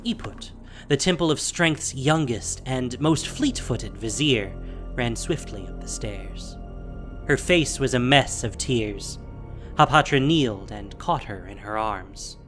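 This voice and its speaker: Male narrator, scripted dramatic fantasy storytelling, mid-range pitch, faint background music. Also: expressive, slow pace, a bit bright.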